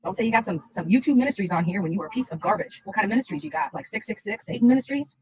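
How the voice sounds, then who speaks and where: A woman's voice: mid-range voice, lively intonation, rapid-fire, a little mellow, rough recording. A woman chatting casually on a livestream in a blunt, rambling, insistent way.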